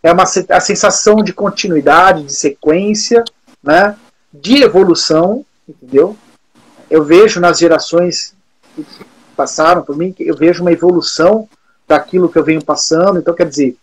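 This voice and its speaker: A man in his forties with a Brazilian Portuguese accent and a husky, fairly high voice. He speaks in a reflective, unhurried way, often restarting his sentences and filling pauses as he thinks aloud during an interview. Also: low-quality recording. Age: 40s. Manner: thoughtful, many fillers and restarts, reflective. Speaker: music teacher and guest musician. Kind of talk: casual interview talk.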